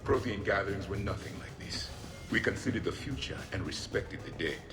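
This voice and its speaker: An actor playing a haughty, solemn ancient alien warrior in a video game, speaking in a formal, imperious way. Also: male voice, on the low side, animated, brisk, over music, lightly acted. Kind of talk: video game character acting.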